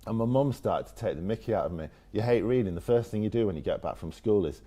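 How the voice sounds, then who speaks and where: Low-voiced, mellow Northern English man. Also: lively intonation, fast talker. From Leeds, northern England. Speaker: interview guest. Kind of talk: casual personal anecdotes.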